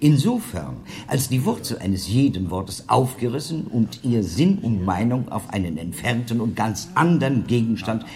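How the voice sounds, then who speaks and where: An actor playing a pedantic, self-assured church scholar in a comic radio play, lecturing pompously. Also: male voice, medium pitch, animated, normal speed, hardly any pauses, a little mellow, lightly acted. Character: pedantic learned church jurist, pompously sure of himself.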